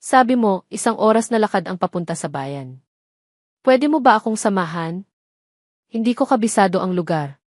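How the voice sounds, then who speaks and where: A woman's voice: mid-range voice, expressive, moderate pace, long pauses, no echo, clean studio sound, lightly acted. Woman voicing a direct, curious rich businessman. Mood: curious, persuasive. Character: rich city businessman, direct, curious, persistent young male.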